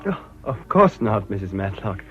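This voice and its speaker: An actor playing a mild, modest parish clergyman in a radio drama, courteous and gentle. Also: lowish voice, sing-song, normal speed. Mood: polite, pensive. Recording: dull radio recording, faint music behind.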